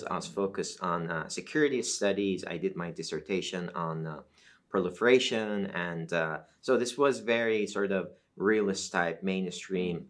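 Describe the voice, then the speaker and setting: A middle-aged man from Iran, whose first language is Azeri Turkish, speaking as a professor guest in an academic interview, measured and explanatory with frequent fillers. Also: low voice, fairly bright tone.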